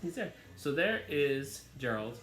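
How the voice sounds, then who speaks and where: Male read-aloud host for kids: very lively intonation, casual questioning asides, roomy sound. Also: medium pitch, measured pace. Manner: expressive, questioning, conversational.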